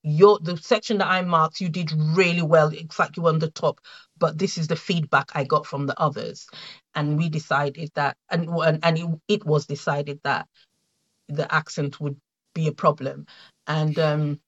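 A middle-aged woman with a Nigerian accent, a guest in an interview, telling her story in a reflective, halting way with many fillers and restarts. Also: low voice, fairly even intonation, lo-fi sound. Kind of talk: conversational interview.

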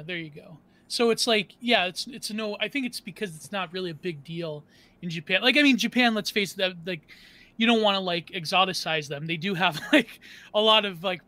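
Bright-voiced man rambling with "like". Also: high voice. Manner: rambling, constant "like". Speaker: panel guest. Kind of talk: casual panel discussion.

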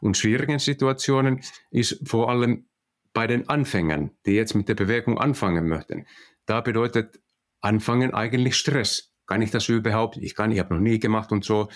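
A man's voice: on the low side, moderate pace. A middle-aged man from Finland with a Finnish accent, answering interview questions hesitantly with many fillers. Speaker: guest, managing director.

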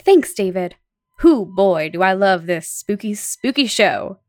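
A woman's voice: medium pitch, expressive, measured pace, a little mellow, no room sound. A woman co-hosting a podcast in a playful, teasing, chatty way. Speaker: podcast co-host. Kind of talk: chatty scripted segment.

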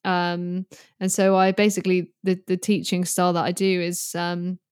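A woman's voice: lowish voice, little pitch movement, moderate pace, a little mellow, clean tone, no room sound, studio quality. A British woman in her early twenties chatting casually as a guest, candid, informal and rambling. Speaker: podcast guest, guitarist and online creator. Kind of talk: casual chat.

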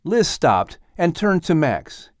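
A man's voice: a little high, sing-song, slow pace, no room sound, studio quality. A man reading scripted narration as an audiobook storyteller and announcer.

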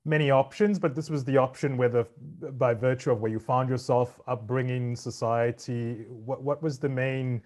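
A man hosting an interview in a mid-range voice. He speaks in a measured way, first reading a prepared introduction and then posing open questions. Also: medium pitch, steady tone, a little mellow. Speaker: interviewer, host.

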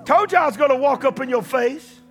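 High, bright-voiced preaching man. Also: brisk, faint music behind. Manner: impassioned preaching, shouting at peaks. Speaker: pastor. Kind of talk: sermon.

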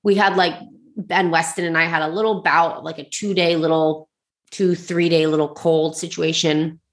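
A woman's voice: low voice, little pitch movement, moderate pace. A woman with a slightly congested voice, talking casually and reflectively in a personal, confessional solo style with lots of "like". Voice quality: slightly congested, clogged-up nose. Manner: informal, reflective, frequent "like". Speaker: host sharing personal advice and resources.

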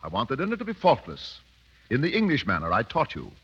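A silky, educated mountain khan in an old radio drama. His delivery is animated and edged, with mock courtesy covering cruelty. The broadcast sounds dull and dated. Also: medium pitch, fast talker, slightly rough, full character voice. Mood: suave, expansive. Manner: urbane, mock-courteous, menacing. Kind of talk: 1930s radio drama acting.

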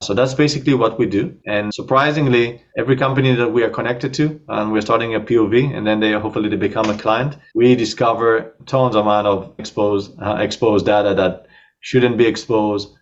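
A man's voice: lowish voice, steady tone, a little fast, muffled recording. A man with an Israeli accent, a startup co-founder, talking casually in an interview over a video call, with frequent fillers.

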